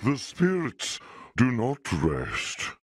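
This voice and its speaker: An actor playing a wild storm spirit warrior, booming out video game battle lines. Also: male voice, wildly swooping pitch, very slow, heavy background noise, heavily acted. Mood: solemn, commanding.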